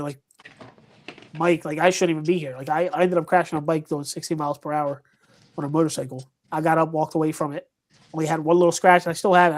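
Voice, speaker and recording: Hesitant man from Puerto Rico. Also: on the high side. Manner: hesitant, many restarts and ums. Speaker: guest, paranormal investigator. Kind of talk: casual chat.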